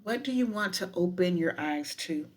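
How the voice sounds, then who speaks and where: A woman guiding a meditation in a deliberate, unhurried manner with frequent pauses. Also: on the low side, animated. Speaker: professor guiding a breathing meditation. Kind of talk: guided meditation with spoken poetry.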